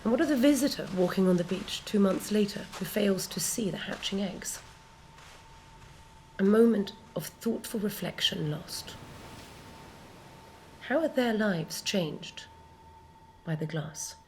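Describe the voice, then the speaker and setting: Slow, solemn woman acting a poetic philosopher heroine. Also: medium pitch, animated, darkish tone, rough recording. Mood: solemn wonder. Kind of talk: dramatic poetic monologue. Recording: faint background noise and ambience.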